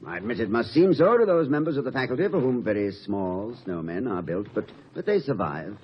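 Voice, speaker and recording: An actor playing an urbane, good-humored middle-aged college president, dry and wordy, in radio comedy style. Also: male voice, lowish voice, big pitch swings, fairly quick. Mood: self-satisfied. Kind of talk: 1950 radio comedy acting. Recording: muffled old radio recording, light noise.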